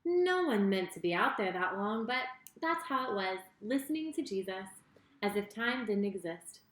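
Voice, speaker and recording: A woman reading a children's story in an expressive, deliberate way, speaking directly to her young listeners. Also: mid-range voice. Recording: roomy, echoing sound.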